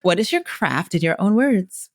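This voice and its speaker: A woman's voice: medium pitch, moderate pace, dry recording, studio quality. A woman hosting a podcast, reading a guest's bio and chatting casually, with lots of encouraging murmurs. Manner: lively, encouraging, frequent murmured affirmations. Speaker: podcast host. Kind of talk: casual interview chat.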